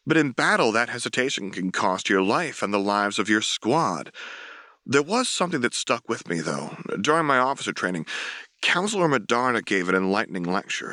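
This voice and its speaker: A man voicing an earnest, self-effacing young military officer in a formal, measured way for a dramatic audiobook. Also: on the low side, bright, forceful tone, no echo. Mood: grave, intense. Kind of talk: dramatic audiobook dialogue reading.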